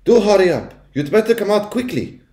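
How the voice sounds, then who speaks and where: A man puts on an elderly grandmother for a storybook. Her voice is high and lilting, polite and breezily composed, in a roomy space. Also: big pitch swings, brisk, dark tone. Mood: urgent calling. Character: cool, chatty, kindly old grandmother.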